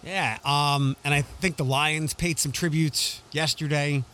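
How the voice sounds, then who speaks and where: A man co-hosts a relaxed podcast chat. His voice sits a little high for a man. He talks in a dry, wry way, slow and measured, with small pauses. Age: 40s. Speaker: podcast co-host. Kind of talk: casual chat.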